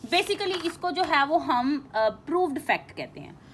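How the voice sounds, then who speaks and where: A woman teacher giving an informal lecture, explaining and reading out definitions in a halting, repetitive way with frequent check-in tags. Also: high voice, expressive.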